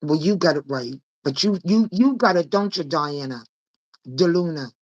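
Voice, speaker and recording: A woman with a raspy voice, hosting a casual live-stream chat and laughing often. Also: low-pitched, lively intonation, normal speed, lo-fi sound. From Nova Scotia. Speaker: host. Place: home room with fake northern lights projector.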